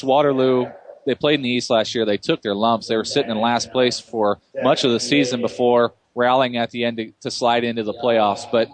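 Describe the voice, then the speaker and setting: A man giving guest analysis on a hockey radio broadcast, measured and cautious, with frequent restarts. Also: medium pitch.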